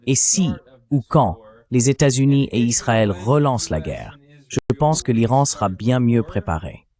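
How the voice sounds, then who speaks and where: A man's voice: mid-range voice, very animated, a little slow, dark tone. A man delivering political commentary as an analyst, in an emphatic, argumentative way.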